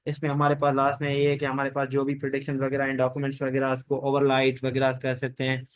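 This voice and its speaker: Monotone man lecturing on revision material. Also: medium pitch, fast, no room sound. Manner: mixes English legal terms into speech. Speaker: law lecturer. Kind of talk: informal exam-revision lecture.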